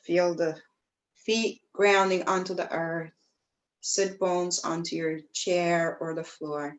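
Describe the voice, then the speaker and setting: A woman teaching yoga, guiding breathing and meditation in a gentle, soothing way, with pauses between phrases. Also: fairly low pitch, little pitch movement. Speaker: yoga teacher leading a class. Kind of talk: guided breathwork and meditation instruction.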